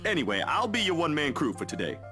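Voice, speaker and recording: A man's voice: fairly high pitch, big pitch swings, fast talker, music in the background, pitched above the performer's own voice. A man voicing a friendly, humble, burly male photographer in a visual novel, easygoing and polite.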